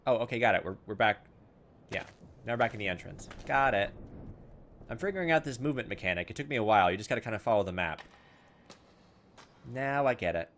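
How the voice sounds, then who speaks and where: A man doing casual gameplay commentary, thinking aloud in an informal, self-deprecating way and reading game text out loud. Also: on the low side, expressive, fast, pauses often, a little hiss or hum. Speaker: gaming video host.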